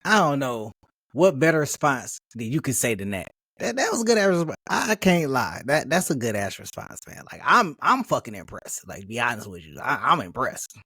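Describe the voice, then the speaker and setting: Animated man reacting, husky and profane. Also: medium pitch, brisk, no echo, studio quality. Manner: animated, profane, laughing asides. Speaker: reaction commentator. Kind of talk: casual reaction commentary.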